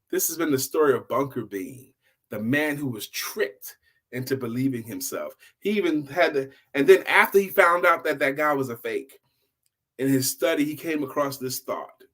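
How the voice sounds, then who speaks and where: A man's voice: on the high side, a bit bright, husky. An older man telling an informal bedtime story in a folksy way, often repeating phrases for emphasis. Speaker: storyteller, host.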